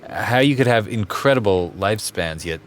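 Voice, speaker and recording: An actor plays a shy, gentle, bookish man in an audio drama. His voice is very deep and he speaks slowly, hesitating and stammering. Faint music sits behind him. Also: animated. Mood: awkward fond. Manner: hesitant, stammering, nervous small laughs. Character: shy, awkward, gentle scholarly man on a date. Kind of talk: naturalistic audio drama acting.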